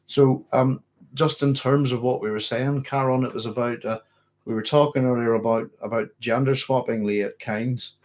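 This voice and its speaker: A man's voice: medium pitch, fairly even intonation, moderate pace, a little mellow. Rambling man hosting a late-night Q&A. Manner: rambling, self-correcting, conversational. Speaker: host of a science fiction channel. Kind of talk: late-night live Q&A, reading viewer comments.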